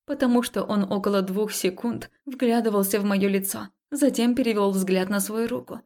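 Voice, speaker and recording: A woman narrating a first-person romance story as an audiobook, expressive and confessional, acting out the dialogue. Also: mid-range voice.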